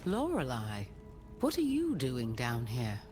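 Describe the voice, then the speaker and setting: Casting: commanding fantasy adventurer; deep for a woman, animated pitch movement, terse and firm. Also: takes it slowly, full character voice. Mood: wary. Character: tough, commanding female adventurer hero. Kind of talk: fantasy video game acting. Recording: loud music behind.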